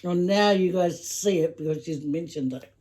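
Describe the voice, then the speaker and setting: A woman's voice: on the low side, moderate pace, dark tone. A middle-aged woman chatting casually with her daughter while eating on camera, laughing often and talking between bites. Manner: chatty, giggly, talks while chewing. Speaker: mukbang vlogger, mother.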